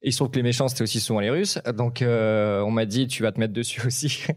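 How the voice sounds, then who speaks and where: A man's voice: medium pitch, steady tone, fast. A young man of Ukrainian origin, a tech journalist on a talk show panel, speaking hesitantly with many fillers. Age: young adult.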